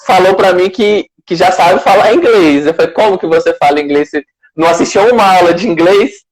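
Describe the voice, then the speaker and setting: Higher-voiced host, easy laugh. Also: male voice, fairly quick, poor audio. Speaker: live-stream host. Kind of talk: casual live interview.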